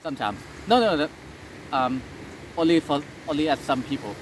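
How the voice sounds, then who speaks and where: Thai man, halting English, street noise. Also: a little high, expressive, poor audio. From Thailand. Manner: halting, with many fillers and restarts. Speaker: interviewee. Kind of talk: casual interview, speaking English as a second language.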